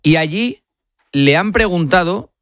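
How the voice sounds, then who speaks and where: A man from Spain hosting a live late-night radio sports show, brisk and fluent with frequent "eh" fillers. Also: sits high, expressive, a bit bright, no echo, clean studio sound.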